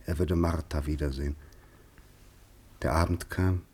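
A man narrating a literary radio play in measured, deliberate short phrases. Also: rumbling low, fairly even intonation, a little mellow, breathy edge.

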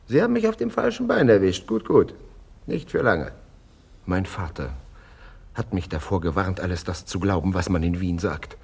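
An actor playing a vain, touchy, antisemitic young painter in a theatrical radio play, speaking in a pompous, defensive way. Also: male voice, sits low, big pitch swings, normal speed, creaky, husky, heavily acted. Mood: indignant.

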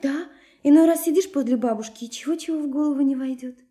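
Radio drama, actress as naive young woman: very high, mellow voice, lively and curious, playfully pressing with questions. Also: fast talker, faint music behind, close to an everyday voice. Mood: eager, teasing. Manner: girlish, eager, teasing, pressing with questions. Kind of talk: Russian radio play acting.

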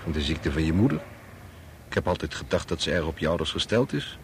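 An actor playing a level-headed, sober friend who talks in a cool, terse, quietly challenging way in a Dutch radio drama. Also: male voice, a bass voice, big pitch swings, fast talker, a little hiss or hum, full character voice. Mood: sober, probing.

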